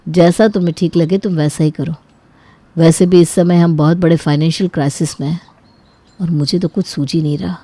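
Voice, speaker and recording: A woman voicing a worried, practical young husband who sounds resigned, in an animated moral story. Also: fairly low pitch, a little fast, no echo, close to an everyday voice.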